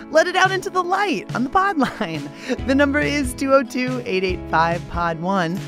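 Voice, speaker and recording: A woman hosting a podcast in a playful, informal, animated way, moving between chatty scripted narration and casual interviewing. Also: mid-range voice.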